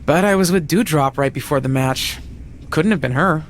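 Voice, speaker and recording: A woman's voice: deep voice, moderate pace, slight background noise, natural voice. A woman playing a blunt, protective wrestling manager in a video game cutscene, speaking in a pointed, sardonic way. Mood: measured.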